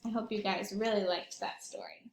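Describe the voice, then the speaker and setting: A woman's voice: medium pitch, steady tone, moderate pace, creaky, reverberant, slight background noise. A woman reading a picture book aloud to young children, expressively, adding simple asides as she goes. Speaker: early childhood read-aloud storyteller.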